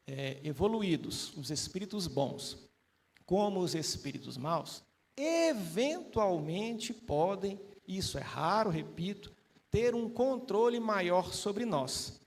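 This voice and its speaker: High-voiced man giving a chatty spiritist talk. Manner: conversational, asks frequent rhetorical tag questions. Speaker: spiritist panel guest. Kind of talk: casual spiritist teaching talk.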